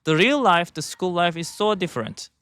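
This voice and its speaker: A man vents in a halting, bitter monologue, starting over again and again. His voice sits a little high and rings bright and smooth. The sound is close and dry. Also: expressive, no room sound. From Turkey. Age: 20s. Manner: bitter, ranting, halting with frequent restarts. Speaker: YouTuber. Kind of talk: personal vlog monologue.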